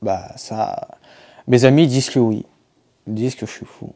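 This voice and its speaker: A man's voice: mid-range voice, moderate pace, warm tone. A man in his thirties from the Lyon region of France, answering interview questions about his painting in a hesitant way, full of fillers and restarts. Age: 30s. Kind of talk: casual spoken interview answers.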